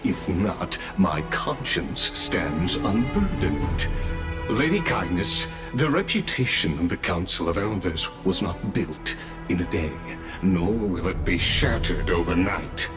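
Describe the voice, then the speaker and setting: An actor playing a calm, formal male official who speaks deliberately and solemnly. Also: deep voice, over music.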